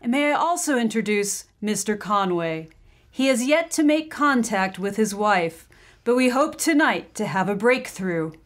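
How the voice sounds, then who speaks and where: An actress playing a gracious, solemn seance medium in an old-time radio drama, speaking formally and deliberately. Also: female voice, medium pitch, animated, lightly acted. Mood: gracious, welcoming.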